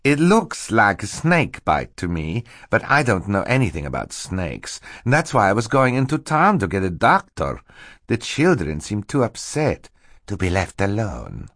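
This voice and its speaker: A man's voice: on the low side, sing-song, a little slow, a bit bright, no echo, studio quality, heavily acted. A man narrating an audiobook, voicing a scheming villain who poses as a meek, fluttery, apologetic assistant. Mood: feigned sorrow. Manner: hissing menace switching to fluttery, falsely apologetic politeness. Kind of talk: audiobook character acting.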